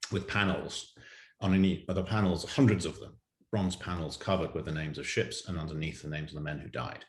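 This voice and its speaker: Middle-aged male storyteller, very low voice, measured and halting, roomy echoing recording. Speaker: writer and actor, interview guest. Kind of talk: reflective anecdote in a panel interview.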